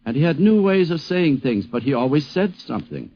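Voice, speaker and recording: A man's voice: on the high side, very animated, brisk. Dull 1950s radio recording, emphatic man reminiscing. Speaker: interviewee recalling a poet friend. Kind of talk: reminiscing, explanatory talk.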